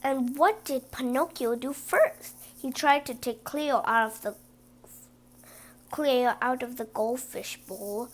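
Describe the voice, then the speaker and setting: Woman, high voice, slow pace, children's read-aloud, emphatic storyteller. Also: a little hiss or hum. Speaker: storybook reader.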